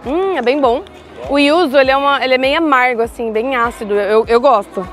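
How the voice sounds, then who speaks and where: A woman's voice: high voice, brisk, soft background music. A young woman, chatting casually in a travel vlog and describing how the food tastes. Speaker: vlogger.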